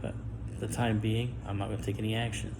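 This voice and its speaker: A middle-aged man with a low, gravelly voice speaks a guarded monologue to camera. He talks haltingly, restarting words and pausing often. The recording is noisy, with constant background hiss. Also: little pitch movement. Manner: guarded and halting, with stammered restarts and long pauses. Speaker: vlogger.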